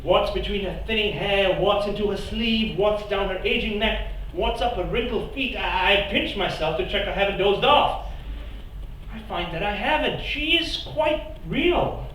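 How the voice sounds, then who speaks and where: A man giving an expressive, playful live reading of a first-person story on a theatre stage. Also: high voice, a little slow, slight background noise. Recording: echoey theatre, mic far off.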